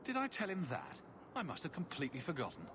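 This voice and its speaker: A man's voice: a little high, wildly swooping pitch, fast talker, background noise. An actor playing a sardonic, self-assured male adventurer hero in video game dialogue, with a wry way of talking.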